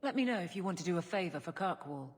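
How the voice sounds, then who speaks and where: A woman's voice: lowish voice, expressive, quick pace, natural voice. An actress voicing a blunt, loyal woman guard and former soldier in a video game, plain-spoken and dry. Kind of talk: video game character dialogue.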